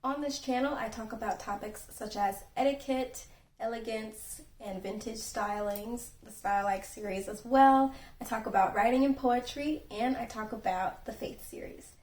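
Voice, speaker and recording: A young woman hosting her own channel, giving earnest, gently instructive etiquette advice and acting out sample phone calls. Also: on the high side, normal speed. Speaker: channel host and etiquette creator. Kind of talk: casual etiquette advice vlog. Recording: echoey room, voice from a distance, faint music.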